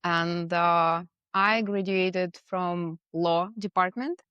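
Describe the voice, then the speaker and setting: Slow-talking woman with a Russian accent. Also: on the low side, fairly even intonation, a bit bright, smooth, dry recording, highs cut off. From Moscow, Russia. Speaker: podcast guest, portrait photographer. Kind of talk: casual interview, personal storytelling.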